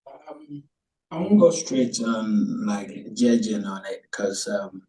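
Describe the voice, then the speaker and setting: A man from Ghana, a guest in a casual chat, telling stories in a hesitant, relaxed way with many pauses and ums. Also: on the low side, distant mic, rough recording.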